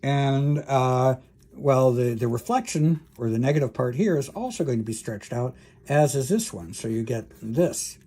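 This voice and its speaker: A man's voice: mid-range voice, lively intonation, normal speed, mellow, breathy edge. A man lecturing as a professor, explaining technical material informally, thinking aloud with frequent hesitations and self-corrections and speaking directly to the listener.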